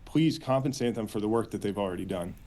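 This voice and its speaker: A man with an American accent answering as a union spokesman in a news interview, earnest, with halting phrasing and repeated words. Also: fairly low pitch, mellow. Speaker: union official, interview guest.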